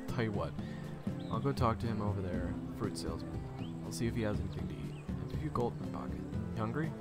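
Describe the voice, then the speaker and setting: A man playing a kind, casual, gently teasing young man in an audio drama. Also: lowish voice, fast, over loud music, natural voice. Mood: caring.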